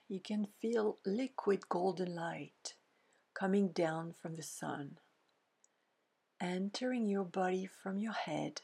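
A woman leading a guided meditation in a soft, breathy, near-whispering voice, gently soothing, with pauses between short phrases. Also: fairly low pitch. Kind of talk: guided meditation, scripted narration.

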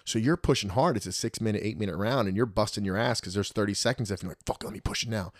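A man in his thirties telling stories in a casual podcast chat, profane and animated. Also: lowish voice, fast talker, dry recording, studio quality. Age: 30s. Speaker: podcast guest.

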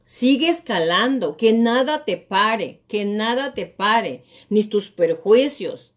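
A woman's voice: mid-range voice, animated, slow. A middle-aged woman, giving an emphatic motivational talk as a coach on a live show, often repeating phrases. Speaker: real estate coach and live show host.

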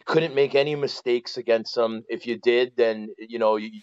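A man in his forties with a Canadian accent, telling stories casually as a podcast guest, colloquial and animated, often checking that the listener follows. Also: mid-range voice, moderate pace, clean tone, no echo, lo-fi sound. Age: 40s. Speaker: former pro hockey player, interview guest.

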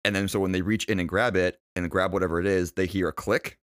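A young man with a very deep voice co-hosts a casual podcast. He talks in a laid-back, dry way and pauses on fillers as he thinks. His voice sounds close and dry, with no room around it. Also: steady tone, clear, resonant tone, dry recording. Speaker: podcast co-host.

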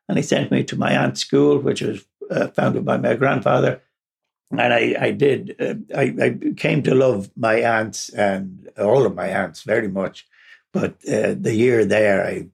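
An Irish man tells rambling personal stories, chuckling often and restarting his sentences. His voice is heavily gravelly and rough, with a very husky, breathy grain, sitting at an ordinary male pitch. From Ireland, raised partly in England. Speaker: podcast guest. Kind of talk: casual personal storytelling.

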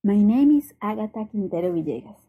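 A Colombian woman, a native Spanish speaker, giving a prepared personal narration with measured, careful phrasing and a Colombian accent. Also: mid-range voice, lively intonation, narrow, tinny audio, a little hiss or hum. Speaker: circus teacher and performer.

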